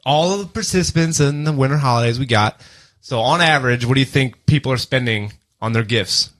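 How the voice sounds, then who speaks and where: A man's voice: medium pitch, fairly quick, fairly bright tone. Man hosting a casual podcast. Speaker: podcast host. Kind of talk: casual podcast chat, reading out statistics.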